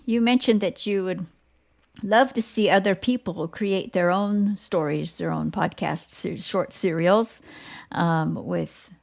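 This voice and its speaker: A woman hosting a podcast, speaking in a conversational interview style with halting, reflective phrasing. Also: fairly low pitch, gravelly, darkish tone. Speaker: podcast host.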